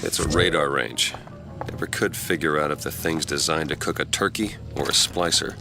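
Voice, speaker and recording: A hard-boiled American private eye in a noir game. His voice is extremely low and gravelly, world-weary and terse, with music playing behind him. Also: steady tone, normal speed. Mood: dry. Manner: terse, wary, noir toughness. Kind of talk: video game voice acting, noir dialogue.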